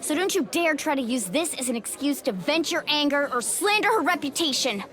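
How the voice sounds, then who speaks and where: A woman's voice: high-pitched, animated, fairly quick, noisy background. A woman voicing a loyal, defiant young woman in a video game, earnest and fiery. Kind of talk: game voice acting.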